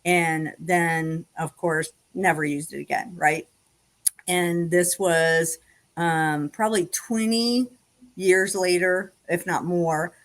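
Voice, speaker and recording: A woman hosts a relaxed podcast chat. She tells stories in a rambling, friendly way, full of fillers and restarts, with a voice pitched a little low. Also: takes it slowly. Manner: chatty storyteller, frequent fillers and restarts, laughs often. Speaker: podcast host. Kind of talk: casual conversation.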